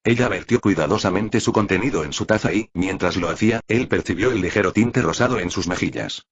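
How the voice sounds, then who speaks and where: A man's voice: sits low, brisk, highs cut off. A man reading scripted fiction aloud as an audiobook-style narrator.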